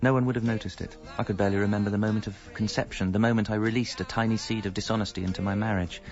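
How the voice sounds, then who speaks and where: Male actor as middle aged british husband owning up to his lies, wry self-mocking radio comedy, gravelly, bit muffled. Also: fairly low pitch, fairly even intonation, very fast, close to an everyday voice. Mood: wry, confessional. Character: self-deceiving married man confessing his double life wryly. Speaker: narrator and lead character. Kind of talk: first-person radio comedy narration. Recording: dull lo-fi sound, faint music.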